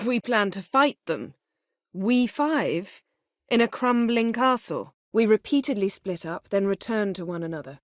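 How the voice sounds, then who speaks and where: An actress playing a proud, sharp-tongued, guarded sorceress in a video game, speaking in a cool, clipped, dryly sardonic way. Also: female voice, on the low side, dry recording, studio quality, natural voice. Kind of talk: scripted game dialogue acting.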